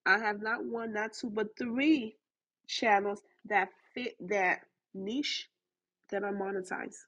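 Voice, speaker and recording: A woman with a mix of Haitian and Southern US accent talks straight at her listeners in an emphatic, motivational podcast style. Her voice is a little husky and sits at an ordinary pitch. She drives her points home by repeating them. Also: fairly even intonation, slow, fairly bright tone, poor audio. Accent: Haitian and Southern US blend. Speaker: podcast host. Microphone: recorded through a voice chat app.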